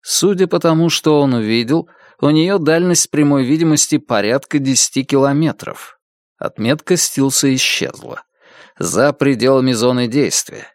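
A man reading an audiobook in an even, clear storytelling voice on a close mic. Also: medium pitch, moderate pace, dry recording. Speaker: narrator. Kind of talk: audiobook narration.

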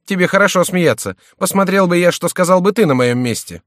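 A man's voice: high-pitched, expressive, a little fast, a bit bright, no room sound, clean studio sound, pitched above the performer's own voice. A man voicing an outraged, battered young nobleman, a king's favourite, indignant and theatrical, in an audiobook. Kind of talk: audiobook character voice.

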